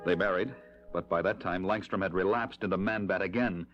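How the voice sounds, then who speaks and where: An actor playing a steady, heroic masked crime fighter in a 1970s superhero audio drama, earnest and commanding. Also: male voice, low voice, a little fast, close to an everyday voice. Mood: grave recounting. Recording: dull 1970s record, loud music.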